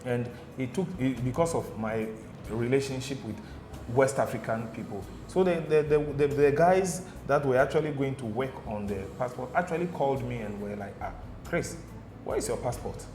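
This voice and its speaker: A man's voice: medium pitch, normal speed, background noise. A Ghanaian man chatting as an interview guest, telling stories and checking in often with "okay?" and "do you understand?". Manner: animated storyteller, repeats "okay?" and "do you understand?". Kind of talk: casual studio interview. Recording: echoey room with music behind.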